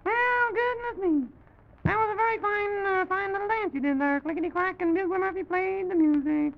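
Fussy little president of a children's toy land: extremely high, crackly voice, flustered rambling, muffled vintage recording. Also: male voice, moderate pace. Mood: pleased, flustered. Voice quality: high, creaky. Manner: fussy, folksy exclamations, rambling and repeating himself. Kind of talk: old-time children's story show character acting. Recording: dull, lo-fi vintage broadcast recording.

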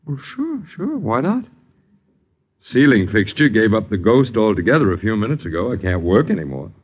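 A florid, mocking radio-drama boarder. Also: male voice, fairly low pitch, sing-song, moderate pace, husky, dull audio. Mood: sardonic. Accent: American. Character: sardonic, wordy male boarder mocking his miserly landlords. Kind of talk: 1950s American radio drama acting. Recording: 1950s radio broadcast recording.

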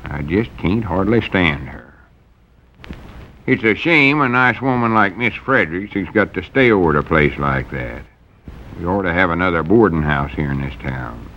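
A low-voiced, bashful old country storekeeper in a vintage radio comedy, with a drawl that is folksy and easily flustered. The sound is dull and muffled, like an old radio broadcast. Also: male voice, expressive, normal speed, slight background noise. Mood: grumbling. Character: fussy, bashful old rural male storekeeper. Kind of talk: 1930s-50s radio comedy acting.

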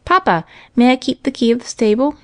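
A woman's voice: fairly high pitch, expressive, fairly quick, dry recording, pitched above the performer's own voice, natural voice. An eager young farm boy from a storybook, as a woman reader plays him. The voice is raised and boyish, impulsive yet a bit timid, recorded dry. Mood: anxious, hopeful. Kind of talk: storybook character voice.

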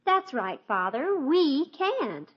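High, girlish scheming teenage daughter. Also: very animated, takes it slowly, exaggerated voice. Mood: pleased, sly. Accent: American. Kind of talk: 1950s radio sitcom acting. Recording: dull vintage radio broadcast.

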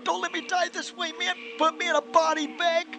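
A man's voice: extremely high pitch, flat delivery, brisk, gravelly, sharp tone, faint music behind, heavily acted. A man playing a wounded hippie soldier who pleads in slacker slang in an audio horror-comedy. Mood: pleading, desperate. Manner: drawling slacker slang, ends phrases with "man".

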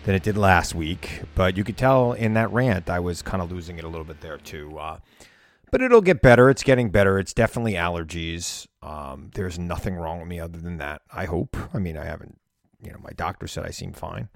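Hoarse, opinionated male radio host. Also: deep voice, lively intonation, fairly quick, no echo. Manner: opinionated, rambling, laughs often. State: losing his voice. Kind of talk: casual monologue.

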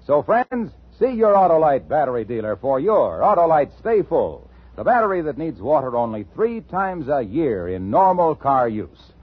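A man announcing and pitching on a 1950s radio show with an emphatic, polished sales delivery. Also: big pitch swings, normal speed, husky, no echo. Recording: tinny old radio broadcast, music behind.

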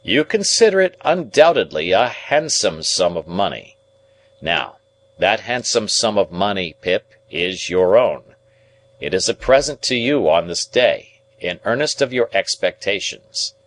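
Casting: male narrator as middle-aged male lawyer-guardian, audiobook. Low voice, emphatic, domineering, pedantic, interrogating. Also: very animated, unhurried, a bit bright, rough recording. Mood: formal, insistent. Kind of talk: audiobook character dialogue.

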